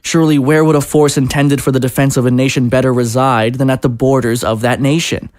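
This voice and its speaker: An actor playing a presidential aide who speaks in a polished, rhetorical, conciliatory way. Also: male voice, mid-range voice, level tone, a little fast, darkish tone, no room sound, clean studio sound, close to an everyday voice. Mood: persuasive. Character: smooth persuasive presidential aide, man.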